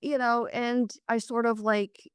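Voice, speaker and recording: Hoarse middle-aged lady guest, chatty with lots of restarts, dry close sound. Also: a little high, flat delivery, a little slow, no echo. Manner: conversational, with frequent restarts and fillers. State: hoarse from a cold. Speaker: guest, psychiatric nurse practitioner. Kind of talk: casual interview chat.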